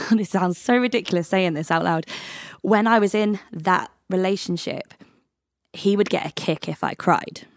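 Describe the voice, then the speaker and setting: A woman's voice: lowish voice, a little slow, no echo, studio quality. A woman, a singer, candidly telling a personal story in conversation and acting out the dialogue. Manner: animated, acts out quoted dialogue. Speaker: podcast guest, singer.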